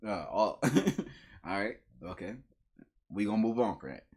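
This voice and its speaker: A young man giving casual reaction commentary in an animated, slangy way, repeating phrases. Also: on the low side, a little slow, slightly rough. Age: young adult. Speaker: reaction video host. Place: small bedroom, indoors.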